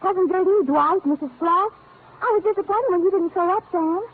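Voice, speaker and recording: Fretful, devoted young secretary, extremely high and girlish, theatrical, on a narrow vintage radio recording. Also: a little fast. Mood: gushing. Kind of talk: 1950s radio drama acting. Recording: muffled old-time radio broadcast recording.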